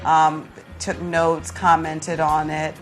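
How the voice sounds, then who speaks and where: A woman's voice: sits low, completely flat delivery, slow pace, faint music behind. A middle-aged woman, a teacher, explaining things conversationally as a guest in an interview. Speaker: high school drama teacher, interview guest.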